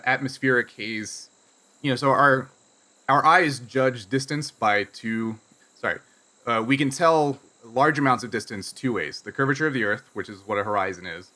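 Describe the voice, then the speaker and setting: A man's voice: mid-range voice, bright, forceful tone, low-quality recording. A man improvising narration as game master of a tabletop game in a conversational, explanatory way.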